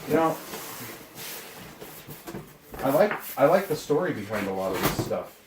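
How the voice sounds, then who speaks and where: A man's voice: mid-range voice, sing-song, measured pace. Rambling man in an echoey, noisy room. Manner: rambling, laid-back, muttering to himself. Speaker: video host, hobbyist radio collector. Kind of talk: casual unboxing commentary. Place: indoor room, handling items from boxes. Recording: echoey room with clear noise and clicks.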